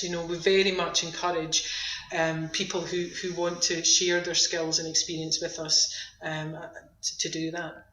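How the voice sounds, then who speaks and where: Bright-voiced Scottish woman, roomy sound. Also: lowish voice, slight background noise. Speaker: activity centre manager, interview guest. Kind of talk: conversational radio interview.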